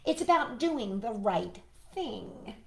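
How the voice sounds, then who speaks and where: A woman voicing a bossy, know-it-all picture-book cat with emphatic, drawn-out, sing-song rhymes. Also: on the high side, big pitch swings, creaky, a bit bright, exaggerated voice. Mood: bossy, matter-of-fact. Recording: echoey room.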